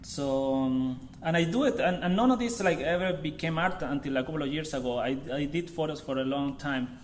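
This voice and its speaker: A man from Chile in his thirties with a Chilean accent, giving an informal artist talk to an audience in a halting way, searching for words. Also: on the high side, slight background noise. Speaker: visiting artist.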